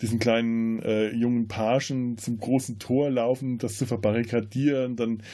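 A man podcast host in a casual film discussion, often halting as he searches for words. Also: mid-range voice, little pitch movement, dark tone.

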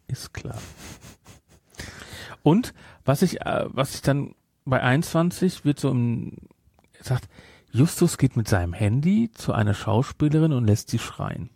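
A man's voice: medium pitch, a little mellow, no echo, clean studio sound. Sarcastic man chatting on a podcast. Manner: sarcastic, incredulous asides. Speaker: podcast host. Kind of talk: casual film discussion chat.

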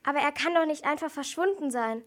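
A very high female voice gives us a worried night nurse, acted by a young amateur. She is dutiful and alarmed, in the manner of a homemade radio crime play. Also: brisk, a bit bright.

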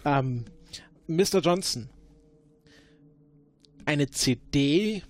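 A man improvising questions and deductions in a role-play investigation, haltingly repeating words as he thinks. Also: a little high, expressive, no echo. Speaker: player questioning suspects in an investigation game.

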